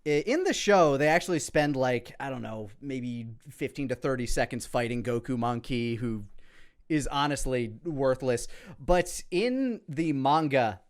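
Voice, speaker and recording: A man's voice: medium pitch, animated, a little slow. A man giving casual creator commentary in a rambling, profane, conversational way. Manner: rambling, profane, quotes lines aloud.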